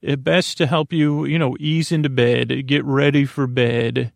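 A man hosting a bedtime sleep podcast in a creaky, lulling voice, meandering through goofy tangents and halting, stammered self-corrections. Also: medium pitch, fairly even intonation, no echo. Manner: soothing, lulling; goofy, whimsical. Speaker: podcast host.

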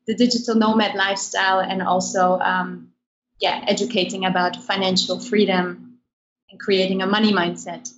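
A woman's voice: mid-range voice, dull audio. A woman who grew up in Germany, a podcast guest explaining her project with frequent hesitant ums. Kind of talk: interview conversation.

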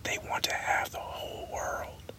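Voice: measured pace, lightly acted. A reader voicing a brash, bawdy young woman, whispered throughout. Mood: teasing. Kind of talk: whispered audiobook reading.

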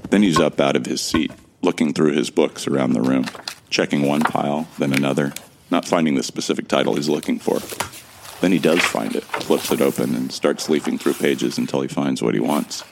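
Man acting very deep, gravelly, bewildered amnesiac. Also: fairly even intonation, a little fast, noisy background. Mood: introspective, tense. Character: bewildered, introspective amnesiac man from Earth, recounting events. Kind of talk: first-person audio drama narration.

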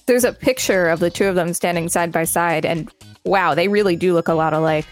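A woman's voice: lowish voice, a little fast, soft background music. A woman co-hosting a podcast, reading an article aloud with casual remarks in between. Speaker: podcast co-host.

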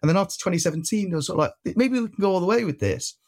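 A man's voice: sits high, animated, very fast, a little mellow. A man in his twenties from Wales with a slight Welsh accent, chatting as an interview guest in a rambling way, full of fillers and restarts. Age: 20s. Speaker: guest activist. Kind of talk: casual interview chat.

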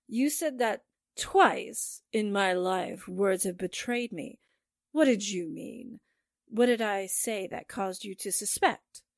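A woman's voice: medium pitch, animated, slow pace, no room sound, studio quality. A woman playing an unhinged, vengeful married murderess in a theatrical, volatile 1940s-style radio drama. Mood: controlled, resigned.

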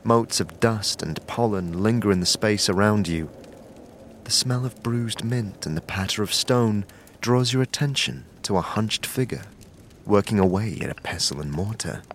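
A man narrating an audio drama in the second person, measured and descriptive. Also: lowish voice, slight background noise.

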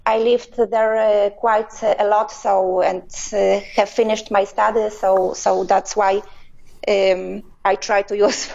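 Halting woman on a muffled phone line. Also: mid-range voice, level tone, vocal fry, a little mellow. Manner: many pauses and filler sounds, halting phrasing. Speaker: interview guest, business owner. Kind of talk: hesitant conversational answers.

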